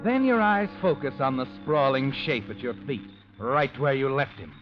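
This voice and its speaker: An actor playing a sinister, all-knowing narrator, mocking and dramatic, in 1940s radio noir style. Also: male voice, medium pitch, lively intonation, moderate pace, close to an everyday voice. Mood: ominous, taunting. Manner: ominous, mocking, dramatic, addresses the character. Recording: muffled old radio recording. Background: dramatic music.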